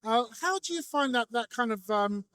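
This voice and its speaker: High-voiced man interviewing casually. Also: animated, moderate pace, fairly bright tone, smooth, no room sound. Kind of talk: casual trade show interview. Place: trade show exhibition hall.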